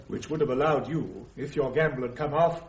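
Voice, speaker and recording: A man's voice: medium pitch, close to an everyday voice. An actor playing a methodical military prosecutor in a radio courtroom drama, formal and pointed in his questioning. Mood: pointed, pressing. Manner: formal, measured, probing. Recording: dull, roomy radio drama recording.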